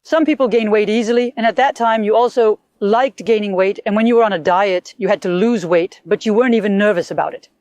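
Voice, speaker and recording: A woman's voice: medium pitch, a little fast, few pauses, darkish tone, no echo. A middle-aged woman from North Korea, telling her story earnestly and reflectively in a casual conversation.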